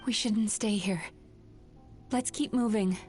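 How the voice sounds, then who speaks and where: An actress voicing a calm, knowing young woman healer in a video game, explaining things in a measured, solemn way. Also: medium pitch, over music, close to an everyday voice. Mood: calm, guiding. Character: composed young woman, dream-eating healer, gentle and knowing. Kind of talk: scripted video game voice acting.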